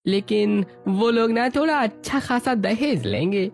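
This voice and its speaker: A man's voice: high-pitched, a little slow, vocal fry, soft background music. A man playing a persuasive, sly marriage broker in an acted family story.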